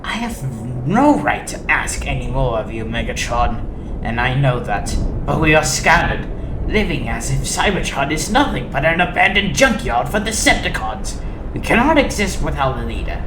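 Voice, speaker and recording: A woman's voice: low-pitched, background noise. A woman playing a proud, theatrical, loyal robot second-in-command, eloquent and dramatic, in a comic dub with a roomy echo.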